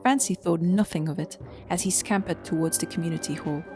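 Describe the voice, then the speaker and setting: A woman's voice: on the low side, brisk, darkish tone, faint music behind. A woman reading scripted storybook narration as the narrator of an audio drama.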